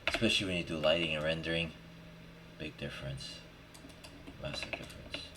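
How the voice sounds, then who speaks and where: A man thinking aloud in a casual screen-recorded software tutorial, muttering in short, slangy fragments as he works. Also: extremely low pitch, steady tone, brisk. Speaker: 3D modeling tutorial host. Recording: clear background noise, keyboard and mouse clicks. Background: typing and mouse clicking.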